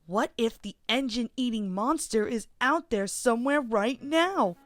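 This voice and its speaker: A woman's voice: a little high, expressive, unhurried, dry recording, pitched above the performer's own voice. A woman voicing a timid, easily frightened young male train engine in a storybook, anxious and exclaiming, over music. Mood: timid, worried. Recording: music under the voice.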